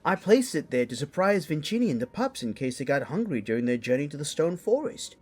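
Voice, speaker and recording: A man's voice: a little high, sing-song, darkish tone, husky, soft background music. A man voicing a tipsy, florid, lovestruck raccoon lord in an audio drama, theatrical and rambling. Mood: pleading, adoring. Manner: slow, theatrical, rambling, sing-song.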